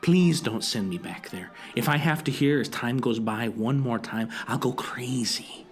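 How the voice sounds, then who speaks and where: A man's voice: mid-range voice, a little slow, lightly acted. Man as a shifty dealer, wheedling, evasive sales patter, audio drama, music behind. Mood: pleading. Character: shifty, wheedling male dealer in contraband collectibles.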